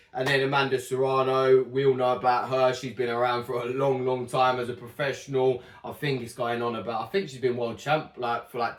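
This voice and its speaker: A young man chatting casually and rambling through a fight prediction, correcting himself often, his voice a little worn. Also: mid-range voice. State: slightly losing his voice. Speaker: boxing channel host. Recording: echoey room.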